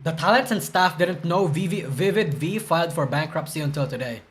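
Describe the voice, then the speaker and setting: A man's voice: a little high, lively intonation, brisk, faint music behind. A young man streaming live, rambling and swearing as he reacts to news, groggy from a hangover and stuttering. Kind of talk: casual livestream chat reacting to news.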